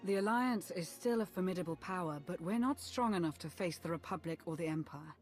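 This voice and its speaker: An actress playing a steady, loyal female military adviser in a video game, speaking in a measured, formal way. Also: fairly low pitch, soft background music, lightly acted. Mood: grave, resolute. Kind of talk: video game cutscene acting.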